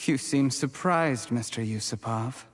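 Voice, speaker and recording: An actor playing a resentful, ambitious young commoner turned schemer in a video game, speaking in a measured, deliberate way. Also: male voice, medium pitch, in a large hall, faint music behind, natural voice. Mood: bitter, defiant.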